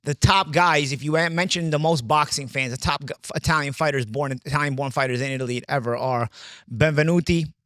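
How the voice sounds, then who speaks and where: A man in an animated sports discussion, emphatic and repeating himself, often saying "you know". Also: a little high, fairly quick, dry recording. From New York, USA. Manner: emphatic, repetitive, peppers talk with "you know". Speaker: boxing show panelist.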